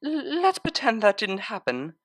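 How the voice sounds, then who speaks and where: A man voicing a refined young female pony cellist in a story, gentle and affectionate, with a soft, coy way of talking. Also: high-pitched, wildly swooping pitch, a little slow, no room sound, studio quality, voice pushed up, heavily acted. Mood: embarrassed.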